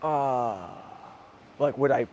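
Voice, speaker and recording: A man in his thirties speaks with a hoarse voice at an ordinary middle pitch. He chats in a loose, easygoing way. He laughs often as he answers interview questions. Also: very slow, lo-fi sound, a little hiss or hum. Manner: relaxed, laughs often, talks with his hands. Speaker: actor being interviewed. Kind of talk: casual interview chat.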